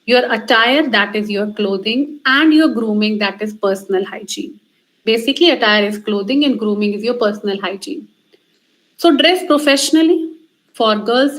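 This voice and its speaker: A woman with an Indian accent speaks in a fairly high voice as a communication trainer. She instructs emphatically, laying out advice in short directive phrases and repeating key points. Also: a bit bright, low-quality recording. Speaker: communication trainer giving a lecture. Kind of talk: instructional talk on interview skills.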